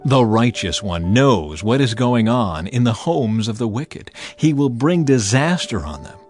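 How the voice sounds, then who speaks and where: A man reading scripture as scripted dramatized narration, in a measured, emphatic and expressive way. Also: mid-range voice, faint music behind. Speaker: audiobook Bible narrator.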